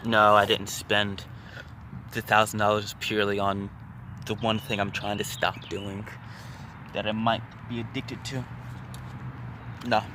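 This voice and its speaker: A man's voice: on the low side, level tone, a little slow, pauses often, background noise. A man telling personal stories casually to viewers, rambling and repeating words and phrases in bursts. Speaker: vlogger.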